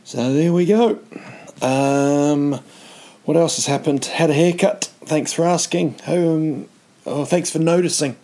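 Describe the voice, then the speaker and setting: A man's voice: a little high, steady tone, slow pace, warm tone. A man in his thirties, rambling through a comic vlog with dry, self-mocking asides and lots of ums. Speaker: vlogger.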